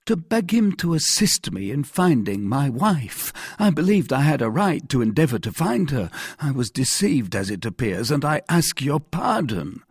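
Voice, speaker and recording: Man doing timid whiny husband in an audiobook, high voice, super lively, anxious n pleading, kinda husky. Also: moderate pace, hardly any pauses, no echo, clean studio sound. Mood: anxious, apologetic. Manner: whining, protesting, over-earnest. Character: timid, pleading middle-class husband, anxious and eager to placate. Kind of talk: dramatized audiobook reading.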